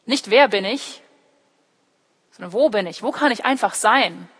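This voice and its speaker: A woman's voice: mid-range voice, expressive, measured pace, fairly bright tone, breathy edge. Young woman preaching in an echoing hall. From Germany, born in California and raised in Heidelberg. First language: English. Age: young adult. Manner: explanatory, addresses listeners directly, acts out short quotes. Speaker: theology student preaching at church. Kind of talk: sermon with personal illustrations. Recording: echoey hall with long reverb.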